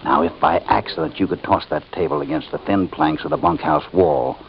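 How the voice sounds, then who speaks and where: An actor playing a steady, defiant cowboy hero held prisoner, firm and measured, in an old-time radio western. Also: male voice, rumbling low, vocal fry. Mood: plotting, determined. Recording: dull old radio recording, faint noise.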